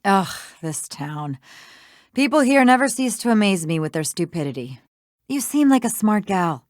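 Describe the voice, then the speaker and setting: An actress playing a busy, sardonic small-town lawyer in a video game, with a dry, exasperated, matter-of-fact manner. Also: female voice, mid-range voice, very animated, normal speed, no echo, lightly acted. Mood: scornful. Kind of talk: scripted video game dialogue.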